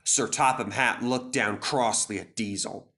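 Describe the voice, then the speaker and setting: A man's voice: lowish voice, a little slow, pushed and bright. A man narrating a scripted children's story as a dramatic storyteller.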